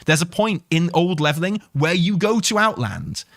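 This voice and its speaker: A man's voice: a little high, very animated, normal speed, gravelly, a bit bright, dry recording, studio quality. A man giving casual, enthusiastic gaming commentary, thinking aloud in an emphatic, conversational way and repeating himself for emphasis. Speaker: video game commentator and host.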